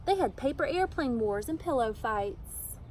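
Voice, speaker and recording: A woman reading a children's picture book aloud in an animated, emphatic storytelling manner. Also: sits high, a little slow, a little hiss or hum, rough recording. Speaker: storybook reader.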